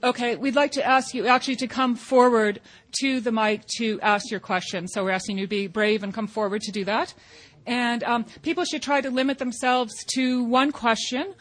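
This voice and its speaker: A woman's voice: fairly high pitch, steady tone, moderate pace. A woman moderating a public affairs forum, making announcements in a businesslike way with some restarts.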